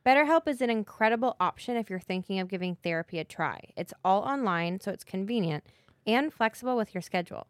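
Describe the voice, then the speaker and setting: A woman reading a scripted sponsor message in an upbeat, deliberate way. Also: medium pitch, animated, dry recording, clean studio sound. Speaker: podcast co-host reading an ad. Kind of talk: scripted ad read.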